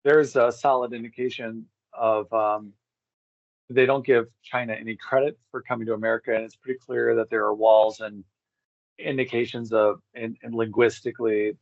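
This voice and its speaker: A man's voice: fairly low pitch, level tone, normal speed, dry recording, dull audio. A man in a casual interview, rambling and thinking aloud as he talks about history as a guest.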